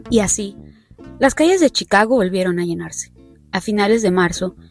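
A woman reading a scripted news report narration in measured, short phrases. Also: medium pitch, animated, over music.

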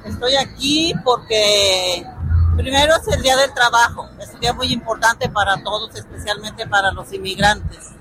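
A woman's voice: a little high, normal speed, music in the background, low-quality recording. A woman interviewed at a street march, speaking indignantly with an uneven pace. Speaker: march participant.

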